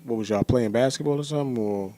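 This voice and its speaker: A man's voice: mid-range voice, fast, mellow. An American man co-hosting in casual, slangy chat, often adding "you know what I'm saying". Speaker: podcast co-host.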